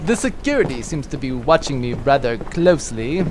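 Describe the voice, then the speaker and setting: A man's voice: mid-range voice, very animated, normal speed, background noise, close to an everyday voice. A man voicing a vain, swaggering one-eyed pirate major in a comedy audio drama, speaking with theatrical bluster. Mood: smug.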